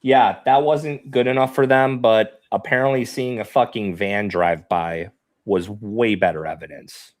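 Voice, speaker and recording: A man co-hosting a casual true-crime talk, reading documents quickly and adding dry, joking remarks. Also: on the low side, no echo. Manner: dry jokey asides, reads quickly. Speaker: co-host.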